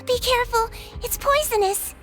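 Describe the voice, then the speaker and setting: Tiny high-pitched gentle nurse girl. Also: faint music behind. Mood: composed. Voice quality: childlike, light. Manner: polite, measured, explanatory. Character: small young head nurse, gentle, composed, quietly shrewd. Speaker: video game voice actor. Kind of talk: scripted game dialogue acting.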